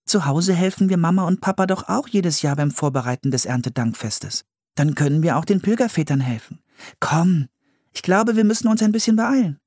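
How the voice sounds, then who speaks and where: A man voicing an eager, tender-hearted young girl with an excitable, expressive manner in a children's audiobook. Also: on the high side, fairly quick, no echo, clean studio sound, pitched above the performer's own voice. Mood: reassuring, urging. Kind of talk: audiobook children's story character voice.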